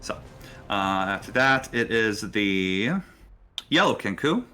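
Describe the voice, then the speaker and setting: A man narrating a tabletop role-playing game as game master, pausing hesitantly mid-sentence. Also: on the low side, animated, sharp tone, smooth. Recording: faint background music.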